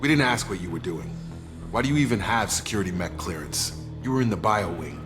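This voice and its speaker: An actor playing a steady male soldier who speaks in a level, commanding way in a video game. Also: low voice, brisk, music in the background. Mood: questioning.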